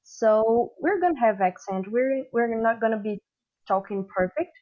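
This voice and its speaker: A woman's voice: fairly high pitch, expressive, measured pace, lo-fi sound. A woman with a Brazilian accent, a student guest speaking English earnestly in a podcast chat. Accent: Brazilian Portuguese. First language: Portuguese.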